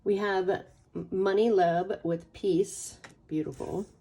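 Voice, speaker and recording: A relaxed, mid-pitched woman talks through a card reading in a casual, playful, informal way. Her voice has a slight rasp from a lingering cold. It is picked up a little way from the microphone. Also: slow pace, distant mic, a little hiss or hum. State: getting over a cold or allergies. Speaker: tarot reader hosting a pick a card channel.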